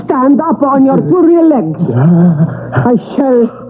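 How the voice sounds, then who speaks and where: Radio role: solemn, commanding demon mistress, chanting orders, vintage lo-fi broadcast. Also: female voice, sits high, sing-song, slow, a little hiss or hum, exaggerated voice. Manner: imperious, incantatory. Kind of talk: old radio drama acting. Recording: lo-fi old radio drama.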